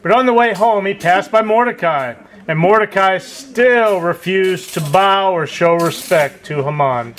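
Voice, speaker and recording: Male story narrator, high register, scripted puppet-show telling, slight background noise. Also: unhurried, hardly any pauses, fairly bright tone. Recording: faint clattering background noise.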